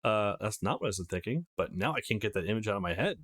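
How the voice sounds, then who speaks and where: A man voicing a mild, low-key teenage friend in a visual novel, curious, hesitant and easily flustered. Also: lively intonation, fast, a bit bright. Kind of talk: reading visual novel dialogue aloud.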